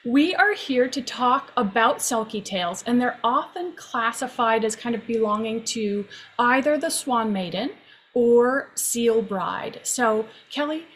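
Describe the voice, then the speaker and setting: A woman hosting an interview in an unhurried, gently appreciative, measured way. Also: fairly high pitch, lively intonation, slight background noise, low-quality recording. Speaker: podcast host.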